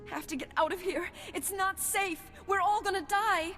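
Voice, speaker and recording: An actress playing a frightened young research worker and anxious survivor in a video game, hesitant and emotional. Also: female voice, extremely high pitch, normal speed, sharp tone, long reverb tail, soft background music. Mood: panicked. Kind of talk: video game cutscene acting.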